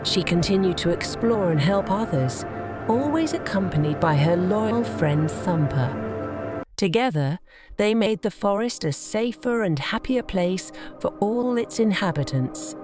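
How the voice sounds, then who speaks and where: A woman tells children's bedtime tales in a scripted storytelling style. Her pitch moves in a lively way, with faint music playing behind her. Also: a little slow.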